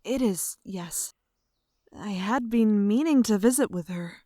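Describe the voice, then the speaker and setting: A woman playing a gentle, polite young noblewoman in a fantasy audio drama. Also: mid-range voice, measured pace, dry recording, studio quality, natural voice. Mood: gentle, concerned.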